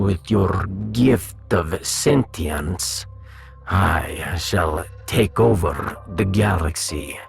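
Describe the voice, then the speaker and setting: A man's voice: low voice, creaky, voice pushed down, full character voice. A man voicing a haughty, grandiose evil-genius talking cat with menacing delivery in a sci-fi audio drama.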